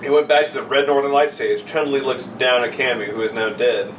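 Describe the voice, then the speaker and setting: A man co-hosts a casual podcast with crude, sarcastic humor, slipping between chatter and mock story reading. His voice sits at a middling pitch. The recording is roomy and distant, with clear noise behind him. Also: fairly even intonation, a little fast, a bit bright. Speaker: podcast co-host. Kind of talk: casual joking chat and mock reading of an internet horror story. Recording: roomy, noisy, distant microphone.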